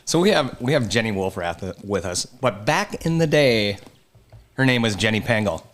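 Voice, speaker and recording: A man, co-hosting a casual podcast, excitable and enthusiastic. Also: lowish voice, normal speed. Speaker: podcast co-host. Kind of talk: casual banter.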